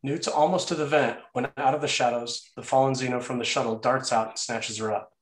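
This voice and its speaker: A man with a medium-pitched voice narrates a screenplay, reading out action and scene headings. He is recorded in an echoey room. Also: fairly even intonation, brisk.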